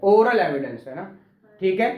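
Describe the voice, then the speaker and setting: A man's voice: high-pitched, big pitch swings, moderate pace, reverberant, muffled recording. A man teaching law to a class, quizzing students, repeating key terms and praising answers. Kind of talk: classroom law lecture with questions.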